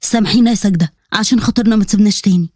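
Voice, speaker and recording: A woman's voice: mid-range voice, very fast, warm tone, slightly rough, no room sound, clean studio sound, pitched below the performer's own voice, close to an everyday voice. A woman voicing a weary, affectionate middle-aged father with an Egyptian accent in an audio story. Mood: remorseful. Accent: Egyptian Arabic.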